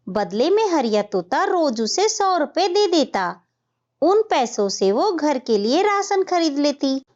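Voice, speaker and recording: A woman's voice: high-pitched, muffled recording. A woman storyteller reading scripted narration for a children's cartoon tale.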